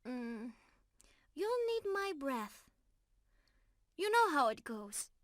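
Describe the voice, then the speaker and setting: Woman acting a high-voiced, sweet, lovestruck mermaid. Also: big pitch swings, slow, no room sound, clean studio sound, exaggerated voice. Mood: soft, loving. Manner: sweet, giggly, tender, addressing the listener directly. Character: affectionate, playful young mermaid in love, reunited with beloved. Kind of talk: ASMR roleplay, scripted intimate acting.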